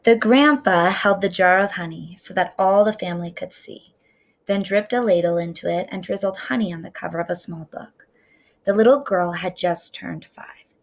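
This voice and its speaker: A middle-aged woman reading a children's story aloud with deliberate, expressive, dramatic phrasing. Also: on the low side.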